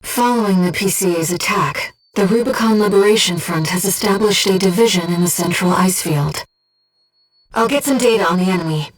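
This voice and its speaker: A woman playing a gentle, disembodied female mission operator in a video game, earnest and composed, with clearly enunciated tactical callouts. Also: medium pitch, fairly quick, far from the mic, soft background music, close to an everyday voice, low-quality recording. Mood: composed, briefing. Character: gentle disembodied female voice guiding a mercenary pilot.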